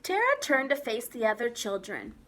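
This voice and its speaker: A woman preschool teacher reading a picture book aloud at circle time, animated and sing-song, pausing to ask the children questions. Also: on the high side. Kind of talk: storybook read-aloud to young children.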